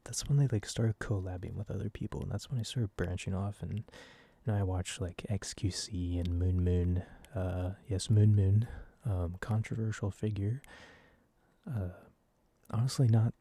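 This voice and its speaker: A soft-spoken man in his early twenties rambles in a casual ASMR style. His voice is low and very dark, recorded close and dry, and he tends to put himself down as he talks. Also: steady tone, moderate pace, husky, no echo. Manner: casual, self-deprecating. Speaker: ASMR video creator.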